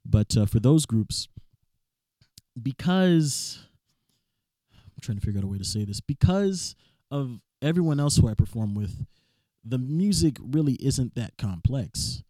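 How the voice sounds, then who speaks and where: A man speaks with a rough, rustic rasp and a lilt. His tone is dark and mellow, and the studio room sounds close and dry around him. He rambles in relaxed chat, often restarting his sentences. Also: medium pitch, unhurried, no room sound. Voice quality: rough, raspy voice. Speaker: blues and soul musician, podcast guest. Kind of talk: casual chat.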